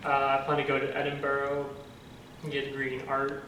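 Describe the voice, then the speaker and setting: A young man from Ohio, a high school senior, chatting through informal interview answers. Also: monotone, a little fast, sharp tone. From Ohio, United States. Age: young adult. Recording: roomy, distant mic, faint music behind.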